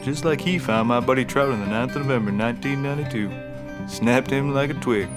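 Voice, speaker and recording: A man's voice: mid-range voice, music in the background, close to an everyday voice. Man playing a folksy backwoods detective. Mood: earnest, insistent. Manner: folksy, often opens with a drawn-out "ooh". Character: folksy, earnest backwoods male detective, proud and simple. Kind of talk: scripted comedy acting.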